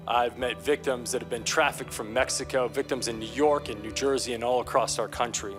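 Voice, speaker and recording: Earnest, emphatic male official, mid-pitched, interview with music behind. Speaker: anti-trafficking official.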